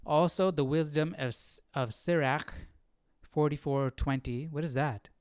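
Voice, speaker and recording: A man's voice: a little high, measured pace, clear, resonant tone, no echo, studio quality. A man hosting a live Bible study, informal and curious, thinking aloud as he chats and reads. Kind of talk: casual livestream chat and reading aloud.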